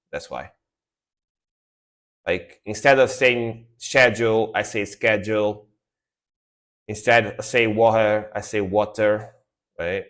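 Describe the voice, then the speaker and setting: A man's voice: fairly low pitch, a bit bright. A young man with an Italian accent, non-native English speaker, giving casual, enthusiastic music reactions on a live stream with a rambling, repetitive way of talking. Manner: enthusiastic, rambling, repeats words like "sick".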